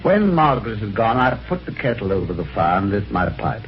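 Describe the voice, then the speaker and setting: An actor playing a genial, chatty British husband with wry gentlemanly phrasing, in vintage radio drama on an old recording. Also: male voice, on the low side, big pitch swings, brisk, dull audio. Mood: wry storytelling. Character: genial, chatty, helpful husband telling his adventure. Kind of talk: 1950s radio drama acting and first-person narration.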